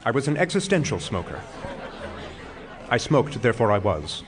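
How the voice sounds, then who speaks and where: An actor playing a pompous, self-dramatizing retired philosophy professor in a stage comedy, speaking in wordy, self-mocking speeches. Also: male voice, medium pitch, very animated, normal speed, loud music behind the voice, over a noisy crowd, natural voice. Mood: grandiose, self-mocking. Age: older. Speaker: actor in an audio play.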